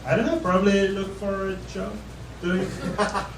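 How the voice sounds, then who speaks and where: A man in his thirties with an Israeli accent, doing a stand-up set and then answering questions in a halting, self-deprecating way, often repeating words. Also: high voice. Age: 30s. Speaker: stand-up comedian. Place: live comedy club stage. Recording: echoey club from far off with audience noise.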